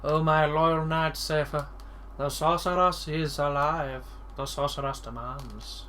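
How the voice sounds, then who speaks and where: Ultimecia, a man putting on a slow, ominous sorceress. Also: on the high side, fairly even intonation, bright, forceful tone, a little hiss or hum. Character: commanding evil sorceress, female, ancient and powerful. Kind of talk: reading game dialogue aloud in a voice.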